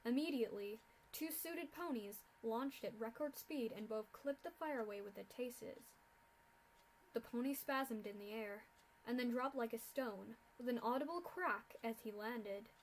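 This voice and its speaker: A young woman narrating a fan-fiction story aloud in a steady reading voice. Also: a little high, a little slow, a little hiss or hum, low-quality recording. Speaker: fanfiction reader.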